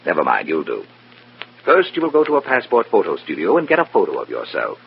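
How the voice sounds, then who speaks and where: An actor playing a stern, contemptuous Communist Party boss with clipped, formal, imperious speech in an old radio drama. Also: male voice, low voice, wildly swooping pitch, brisk, creaky, exaggerated voice. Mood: curt, commanding. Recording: dull, noisy, humming old radio recording.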